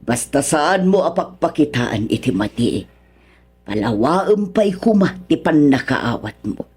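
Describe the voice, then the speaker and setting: Lady doing a gentle ilocano mother-in-law, radio drama, low but bright voice, a bit husky. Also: sing-song, a little slow, faint music behind, heavily acted. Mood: consoling. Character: kindly, reassuring middle-aged mother-in-law.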